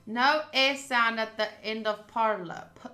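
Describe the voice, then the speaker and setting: A chatty, expressive woman, a native Afrikaans speaker, streaming a game with casual talk and reading aloud. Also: a little high, unhurried. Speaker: streamer playing a visual novel. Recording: roomy sound with music underneath. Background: game music.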